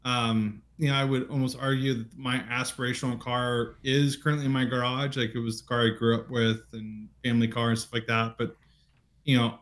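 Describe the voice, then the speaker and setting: Relaxed man hosting a car chat. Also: medium pitch. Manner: relaxed, rambling, hesitant restarts. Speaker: host.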